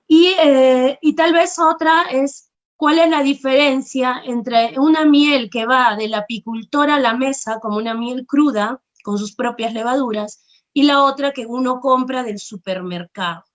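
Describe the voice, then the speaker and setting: A woman's voice: high voice, a little slow, dull audio. A woman hosting an online talk, introducing the guest politely and formally. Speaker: host.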